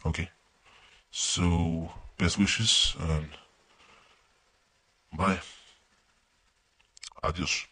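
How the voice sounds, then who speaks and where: A man's voice: deep voice, steady tone, drawn-out words, pauses often, bright, forceful tone. A man from Spain with a mixed Spanish and American accent, a native Spanish speaker giving a casual, hesitant testimonial. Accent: mix of Spanish and American. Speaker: student giving a coaching testimonial.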